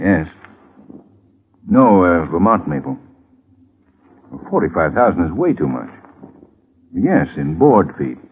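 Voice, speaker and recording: Glib lawyer, middle-aged: low register, animated inflection, evasive and brisk, 1950s radio acting, faint hiss. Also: male voice, full character voice. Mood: brisk, affable. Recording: muffled, hissy vintage radio recording.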